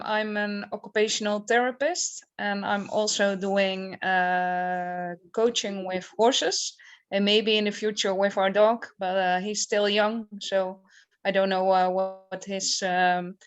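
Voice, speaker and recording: A woman introduces herself over a video call, speaking slowly with frequent ums and pauses. Her voice is smooth and clear at a middle pitch. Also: flat delivery. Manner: hesitant, many ums. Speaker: occupational therapist.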